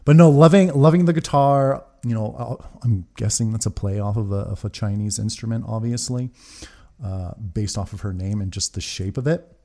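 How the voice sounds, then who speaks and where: A man's voice: fairly low pitch, vocal fry, dark tone. A man, giving casual, enthusiastic, sweary commentary as a reaction video host. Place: small room at a desk, indoors. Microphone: large desk microphone, close.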